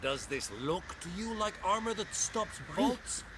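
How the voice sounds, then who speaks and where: An actor playing a haughty general of an invading empire in a fantasy game, formal, commanding and disdainful. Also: male voice, high-pitched, expressive, measured pace, heavy background noise. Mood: stern. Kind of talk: fantasy video game acting.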